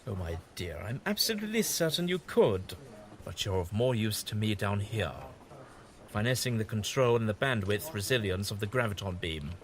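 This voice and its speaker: A man's voice: lowish voice, close to an everyday voice. A man playing a whimsical, kindly, evasive time-travelling adventurer in an audio drama, with fussy and hesitant politeness.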